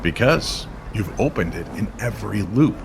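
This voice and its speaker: Mysterious knowing stranger , acted by a man for radio drama: lowish, expressive, solemn, gently firm. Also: vocal fry, background noise. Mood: grave, knowing. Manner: grave, deliberate, gently firm. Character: calm, grave, knowing male stranger guiding a grieving woman. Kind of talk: audio drama dialogue.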